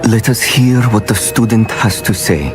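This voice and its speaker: An actor playing a stern, incredulous old wizard guardian in a video game, with an imperious, questioning manner. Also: male voice, fairly low pitch, normal speed, music in the background, natural voice. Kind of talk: dramatic video game voice acting.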